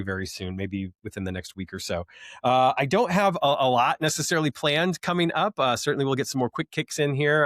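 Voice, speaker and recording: Guy with a lowish voice doing a casual unboxing, keen but rambly, lots of ums, no room echo. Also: a bit bright, studio quality. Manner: enthusiastic, rambling, hesitant delivery with frequent ums. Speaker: host of a film collecting video channel.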